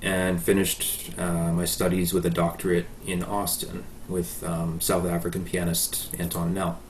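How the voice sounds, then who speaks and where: A man's voice: deep voice, monotone, unhurried, a little hiss or hum. A Canadian man in his thirties answering interview questions about his life, with frequent ums and uhs. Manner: hesitant, many ums and uhs. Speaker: guest pianist.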